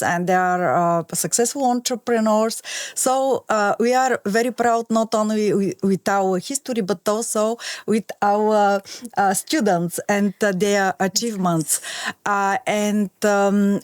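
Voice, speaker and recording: A woman from Bulgaria, a Bulgarian native speaker, answers slowly in halting English and pauses often on fillers as she searches for words. Her voice sits at a middle pitch, and the studio sound is close and dry. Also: a little mellow, dry recording. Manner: hesitant, many fillers, careful non-native English. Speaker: guest lecturer. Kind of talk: radio interview.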